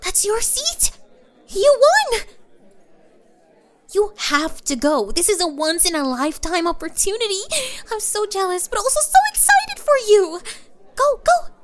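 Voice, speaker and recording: Woman acting as a high-pitched, gushing young superfan. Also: sing-song, measured pace, long pauses, heavily acted. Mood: thrilled. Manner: gushing, breathless enthusiasm. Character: excitable young female superfan, chatty and gushing, at a concert. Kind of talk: ASMR roleplay acting, speaking to the listener.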